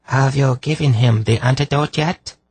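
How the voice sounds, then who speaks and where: A man's voice: mid-range voice, normal speed, a little mellow, husky, no echo, voice pushed up. A man narrating an audiobook voices an earnest, deferential young woman healer who speaks in an anxious, pleading way.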